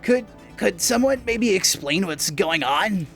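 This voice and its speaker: A man's voice: sits high, animated, slow, fairly bright tone, soft background music, full character voice. A man voicing a nervous, starstruck young male superfan in a video game, stammering and hesitant. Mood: confused.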